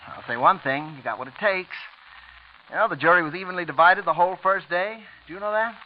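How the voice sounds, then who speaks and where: A theatrical, needling male prosecutor acted out by a man in 1940s radio style. His voice sits high and his talk is slangy and hard-boiled. The old recording is dull, with some noise. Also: fairly quick, natural voice. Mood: sly, grudging.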